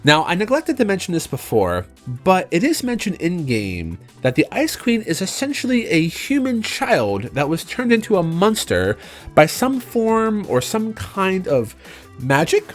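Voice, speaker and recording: Guy doing game review voiceover, kinda high voice, really up and down, conversational, music behind him. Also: wildly swooping pitch, a little slow. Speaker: video game reviewer, channel host. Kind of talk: semi-scripted review narration. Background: background music.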